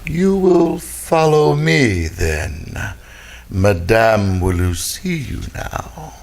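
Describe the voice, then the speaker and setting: An actor playing a small, creepy manservant in an old radio detective drama, speaking with hesitant, stilted, obsequious courtesy. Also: male voice, on the low side, big pitch swings, very slow, creaky, full character voice. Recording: vintage radio recording with loud background noise.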